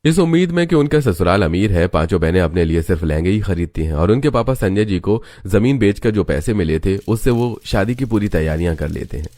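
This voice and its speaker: A man's voice: deep voice, animated, brisk, hardly any pauses, darkish tone, no room sound. A man reading scripted moral-story narration as a storyteller.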